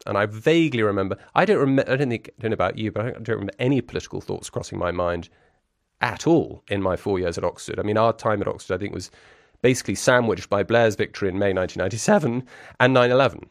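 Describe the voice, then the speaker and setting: A man in his forties with a lowish voice, musing aloud as a guest in a conversational interview. He circles his thoughts, stumbling through restarts and little fillers, yet lands on articulate, wry phrasing. The sound is close and dry, with no room echo. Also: vocal fry. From grew up in Brussels.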